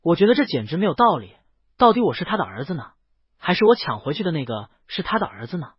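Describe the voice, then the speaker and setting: A man voicing a gentle, earnest young male dragon in an audiobook, polite and eager to reassure. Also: fairly high pitch, very animated, quick pace, slightly rough, dry recording, lo-fi sound. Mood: puzzled. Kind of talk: audiobook character dialogue.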